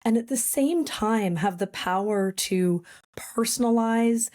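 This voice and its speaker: A woman speaking as a guest on an education podcast. Her voice is slightly raspy, and she talks in a friendly, chatty way with frequent fillers. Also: a little high, slow, a little mellow. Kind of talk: podcast interview.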